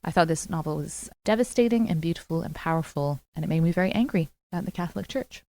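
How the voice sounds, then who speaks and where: A woman's voice: deep voice, fast, mellow. A woman discussing books in an earnest, emphatic way. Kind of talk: book discussion.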